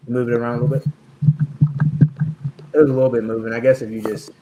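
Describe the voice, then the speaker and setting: A man hosting a casual chat about sports and faith, talking in halting bursts with many fillers and restarts. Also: medium pitch, little pitch movement, very noisy. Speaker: podcast host.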